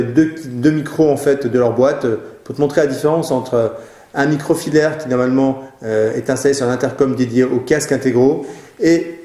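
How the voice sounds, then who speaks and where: A man with a dark, relaxed voice talks viewers through product advice. His delivery is casual and a little hesitant, and the sound is roomy with audible echo. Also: mid-range voice. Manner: hesitant, informal. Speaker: web show presenter answering viewers' questions.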